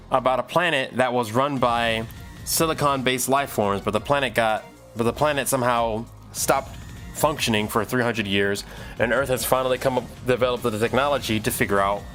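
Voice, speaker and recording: A man chatting casually on a livestream while he works, thinking aloud in a halting, rambling way with repeated phrases. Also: medium pitch, fairly bright tone. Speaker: livestream host and audio drama producer.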